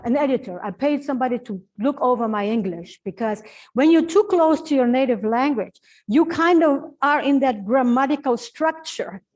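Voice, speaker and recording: Woman translator, informal launch talk, slightly high voice, distant mic. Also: a little mellow. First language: Portuguese. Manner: chatty, many fillers and restarts. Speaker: book presenter and translator.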